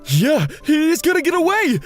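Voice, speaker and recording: Casting: male actor as excitable cartoon schoolboy, cocky, high-voiced and very lively, light music behind. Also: normal speed, heavily acted. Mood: excited. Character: excitable teenage schoolboy, eager would-be hero. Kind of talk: manga dub voice acting.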